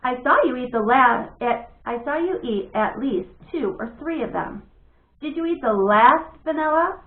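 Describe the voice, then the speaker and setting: A woman's voice: sits high, expressive, normal speed. Woman reading as insistent little boy, thin echoey sound. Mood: accusing. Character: demanding, stubborn young boy, fussy about food. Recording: thin phone-like audio, echoey room.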